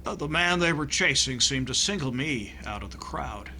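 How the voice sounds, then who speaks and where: An actor playing a nervous, dithering doctor who stammers and hesitates with dry humour, in old-time radio comedy style. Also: male voice, medium pitch, animated, normal speed, very noisy, lightly acted. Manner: hesitant, stammering, dryly comic. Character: nervous, dithering American doctor and husband in comic thriller.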